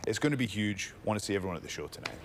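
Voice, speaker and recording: A man's voice: lowish voice, lively intonation, very fast, a little hiss or hum. A young man with a mild Scottish accent, a wrestler guest chatting on a TV show with playful, boastful hype. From Scotland. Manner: showy, hyping, playful boasting. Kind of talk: casual TV interview chat. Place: TV studio.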